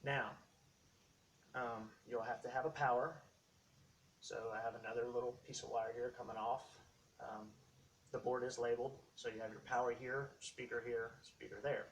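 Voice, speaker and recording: A man hosting a casual how-to video, talking through equipment step by step in short, halting phrases. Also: mid-range voice, fairly even intonation. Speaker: host of a how-to tech video series. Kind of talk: casual unscripted product walkthrough. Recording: distant mic, faint background noise.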